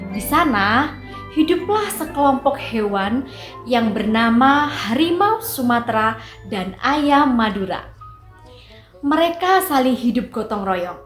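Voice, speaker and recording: A young woman telling a fairy tale to children in a coaxing way. Her voice is high and her pace unhurried. The room is echoey, with faint music underneath. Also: animated. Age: young adult. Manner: warm, coaxing storyteller addressing children. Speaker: student storyteller. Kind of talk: storybook narration for children. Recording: roomy echo, faint music.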